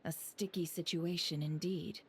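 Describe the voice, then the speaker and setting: A woman voicing a composed, analytical female investigator in a video game, speaking in a measured, deliberate, formal way. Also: lowish voice, fairly even intonation, faint music behind, close to an everyday voice. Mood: pensive.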